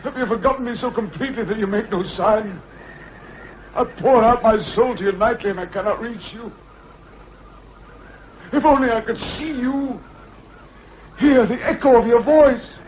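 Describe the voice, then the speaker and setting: A man's voice: mid-range voice, normal speed, long pauses, slight background noise, lightly acted. A man playing a brooding, harsh master on dull audio. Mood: yearning. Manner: harsh and commanding, yearning when alone. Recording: dull, lo-fi radio recording.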